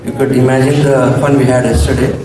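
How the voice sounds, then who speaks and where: Hoarse Indian man speaking in an echoey hall. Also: medium pitch, level tone, quick pace, a crowd in the background. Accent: Indian English. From coastal Andhra Pradesh, India. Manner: hesitant, many ums and restarts. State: slightly hoarse. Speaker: alumni speaker giving vote of thanks. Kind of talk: formal public speech with pauses. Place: large hall. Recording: echoey hall mic from far off.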